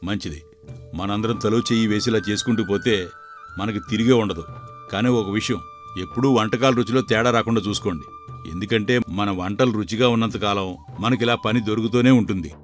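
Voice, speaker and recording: A man plays a proud village head cook in a cartoon moral tale. The voice is deep and measured, with bossy authority, over faint music. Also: close to an everyday voice. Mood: earnest, assured. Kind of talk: cartoon moral-story dialogue.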